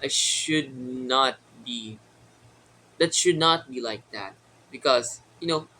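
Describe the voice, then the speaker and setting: A young man with a Filipino accent talks slowly to his viewers, stopping often and filling the gaps with ums. His voice is bright and forward. A faint hiss sits under the recording. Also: mid-range voice, rough recording. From Philippines. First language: Tagalog. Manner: hesitant, many pauses and fillers. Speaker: vlogger. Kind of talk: casual solo talk to camera.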